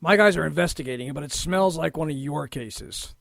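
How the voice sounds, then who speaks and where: An actor playing a gruff, exasperated police lieutenant in a noir audio drama, sarcastic and grumbling. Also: male voice, fairly high pitch, fairly quick, fairly bright tone, dry recording, clean studio sound.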